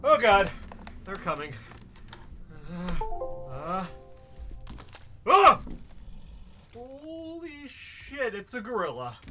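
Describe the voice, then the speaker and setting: High-pitched panicked man, acted out by a man. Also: big pitch swings, very slow, pauses often, over music, full character voice. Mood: terrified. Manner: stammering, gasping, exclaiming. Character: panicked man stumbling upon a terrifying creature. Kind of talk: audio drama acting.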